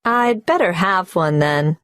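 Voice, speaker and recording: A woman's voice: on the low side, expressive, takes it slowly, a bit bright, no echo, studio quality. A woman playing a migrant applying for a tax number in a scripted exam dialogue, answering carefully and hesitantly. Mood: earnest.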